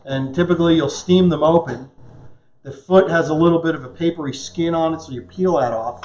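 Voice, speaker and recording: A man's voice: fairly high pitch, fairly quick, darkish tone, highs cut off, faint music behind. Joking middle-aged man, echoey kitchen sound. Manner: joking, conversational. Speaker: chef and cooking show host. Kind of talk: casual live cooking demonstration. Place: home kitchen, indoors.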